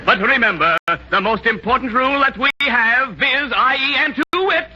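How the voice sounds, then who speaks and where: An actor playing a silly, pompous, jovial doctor with flowery speech in a 1940s radio comedy. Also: male voice, extremely high pitch, normal speed, bright, forceful tone, breathy edge, exaggerated voice. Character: silly, pompous male rest-home doctor. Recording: muffled, noisy 1940s radio broadcast.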